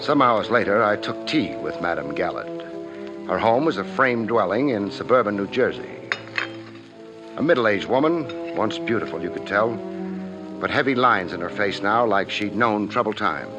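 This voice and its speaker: Man acting a persistent private detective, low pitch, wry, clipped, tough, 1950s radio drama, dull old recording. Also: lively intonation, moderate pace, music in the background, natural voice. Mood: measured. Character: hard-boiled male private investigator, wry, persistent.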